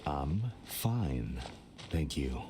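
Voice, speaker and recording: A man's voice: extremely low pitch, very animated, extremely slow, over music, exaggerated voice. An actor playing a stern, reserved middle-aged merchant father in a video game, curt and formal. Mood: stern, aloof. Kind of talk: English video game voice acting.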